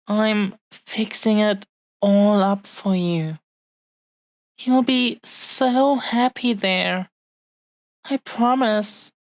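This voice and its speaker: Woman playing a very slow-talking, doting dragon girl. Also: mid-range voice, a little mellow, no room sound. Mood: playful, promising. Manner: tender, unhurried, pausing delivery. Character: affectionate, possessive young dragon-woman fiancée cherishing her beloved treasure. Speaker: audio roleplay performer. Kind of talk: intimate romantic audio roleplay, addressed to listener.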